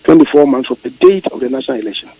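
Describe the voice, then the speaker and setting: A man's voice: medium pitch, animated, racing, warm tone, dry recording, rough recording. A man with a Ghanaian accent explaining emphatically in a radio interview, repeating phrases and asking rhetorical questions as he switches between Twi and English. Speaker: political interviewee.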